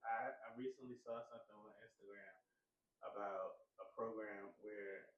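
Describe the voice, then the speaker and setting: A man's voice: fairly low pitch, fairly even intonation, normal speed. A man in a casual panel chat, speaking candidly and bluntly as he pushes the others to open up. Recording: roomy, distant, dull sound.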